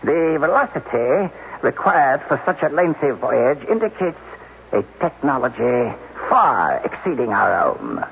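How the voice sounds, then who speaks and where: An actor playing a sly, self-assured professor in a 1980s radio drama, precise and patronizing. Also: male voice, medium pitch, big pitch swings, measured pace, creaky. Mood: assured, insistent. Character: condescending male scientist professor, sly, self-assured, evasive. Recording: dull, noisy old radio broadcast.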